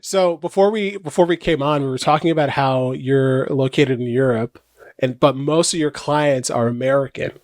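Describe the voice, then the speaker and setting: A man's voice: mid-range voice, moderate pace, darkish tone, dry recording, clean studio sound. A man hosting a podcast interview, affirming his guest often and asking loose, rambling questions. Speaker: podcast host. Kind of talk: conversational interview.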